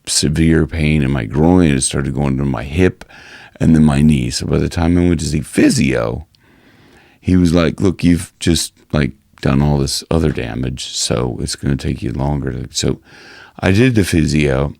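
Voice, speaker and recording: A man with a hoarse voice, talking casually and rambling through a personal health update in his vlog. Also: extremely low pitch, measured pace, a little mellow, no echo, studio quality. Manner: rambling, many restarts and fillers. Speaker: singing coach and vlogger.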